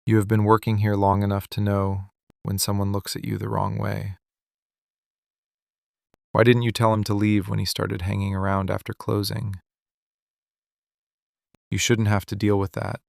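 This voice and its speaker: A man playing a possessive, menacing mafia boss in a boyfriend ASMR roleplay, speaking in a controlled, deliberate, quietly commanding way. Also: fairly low pitch, flat delivery, vocal fry, no echo, studio quality. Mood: possessive, probing. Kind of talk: boyfriend ASMR roleplay, scripted dramatic monologue.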